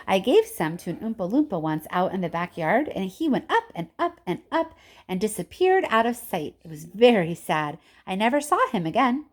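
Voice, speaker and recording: A woman's voice: mid-range voice, very animated, moderate pace, darkish tone. A woman voicing an eccentric, impatient and boastful male candy-factory owner in an exclamatory storybook reading. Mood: excited. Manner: exclamatory, theatrical. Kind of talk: children's storybook character reading.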